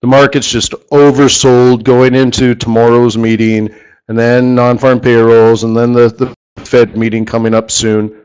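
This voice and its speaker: A man leads a live trading lesson in a middle-pitched voice that is wearing out, so he stops now and then to clear his throat. His delivery is loose and off the cuff, full of restarts and self-corrections. When he wants a point to land he repeats a word over and over. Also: little pitch movement, a little slow, darkish tone, muffled recording. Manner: rambling, self-correcting, emphatic repetition. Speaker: forex trading instructor. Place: studio or office, live stream.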